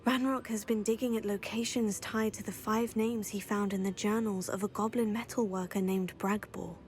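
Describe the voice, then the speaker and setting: A woman's voice: mid-range voice, fairly even intonation, moderate pace, a little mellow, lightly acted. An actress playing an earnest, poised young witch student in a video game, articulate and polite. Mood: explaining, composed. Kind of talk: scripted video game acting.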